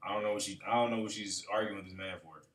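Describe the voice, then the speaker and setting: A man's voice: fairly low pitch, steady tone, very fast, a bit bright. A man hosting a reaction video, talking casually and thinking aloud with plenty of fillers. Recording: echoey room, mic far off.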